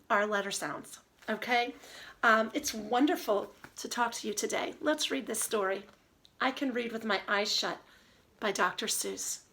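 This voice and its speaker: A woman teacher reading aloud and giving a lesson to young children in an expressive, child-directed way. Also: on the high side. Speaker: early elementary teacher.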